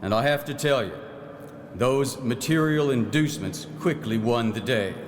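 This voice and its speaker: An actor playing a proud, folksy 1909 farm-minded legislator who reminisces in a plain-spoken theatrical monologue. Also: male voice, mid-range voice, close to an everyday voice. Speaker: actor in historical re-enactment. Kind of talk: theatrical first-person historical monologue. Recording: echoey hall, clear background noise.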